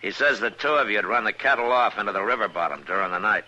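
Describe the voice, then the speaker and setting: A man playing a stern, steady frontier marshal in a western radio drama, speaking in a firm, terse, authoritative way. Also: fairly low pitch, quick pace, exaggerated voice. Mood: firm, accusing. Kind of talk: old-time radio western drama acting. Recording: dull, lightly noisy old radio recording.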